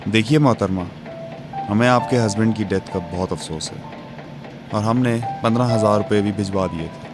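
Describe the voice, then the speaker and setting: A man's voice: on the low side, normal speed, natural voice. A man playing a curt, wealthy factory owner in a radio drama, formal and businesslike. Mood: dismissive. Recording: music behind the voice.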